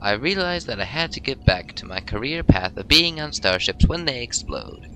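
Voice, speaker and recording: Man playing a bright-voiced, animated, eccentric alien officer. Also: medium pitch, moderate pace, slight background noise, rough recording. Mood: smug. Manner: precise, formal, wry wording. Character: eccentric, feline alien chief petty officer, aloof and insolent. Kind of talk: fan audio drama acting.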